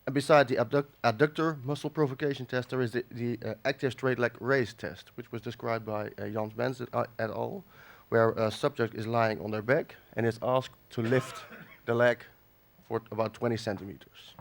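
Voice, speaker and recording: A man from the Netherlands with a cold, giving a halting academic conference lecture with many fillers and restarts. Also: medium pitch, fairly even intonation, creaky. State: has a cold. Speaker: conference speaker. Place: conference hall.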